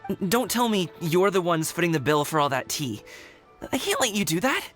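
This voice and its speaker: Earnest, boyish young game hero. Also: male voice, high voice, sing-song, normal speed, a bit bright, faint music behind. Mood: alarmed. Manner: casual, youthful. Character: earnest, grateful, humble young man, eager and boyish. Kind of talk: video game voice acting.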